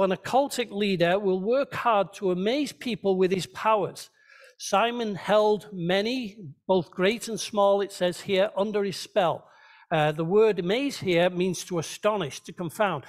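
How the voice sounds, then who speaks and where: A man's voice: sits high, unhurried. A man preaching a sermon as a pastor, teaching conversationally and checking in with his listeners. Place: church, speaking to a congregation.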